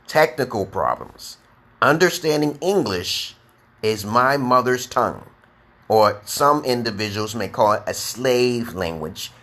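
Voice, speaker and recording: A man with a New York accent teaching beginner English on a live stream, speaking slowly and emphatically and checking in with "okay?". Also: mid-range voice, a bit bright. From United States. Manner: drawn-out, emphatic, repeats letters and "okay?". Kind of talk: slow deliberate live-stream lesson.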